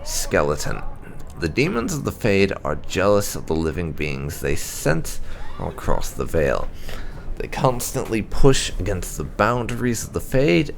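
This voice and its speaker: A man reading game lore entries aloud as scripted narration, in a formal, measured, deliberate way. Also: low-pitched, creaky, a little hiss or hum. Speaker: gaming channel host.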